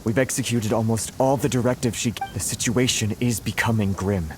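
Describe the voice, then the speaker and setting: An actor playing a worried resistance officer in a video game, reporting in a formal, measured, grave manner. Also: male voice, on the low side, noisy background, close to an everyday voice. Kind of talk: acted video game dialogue. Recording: faint music bed behind the voice.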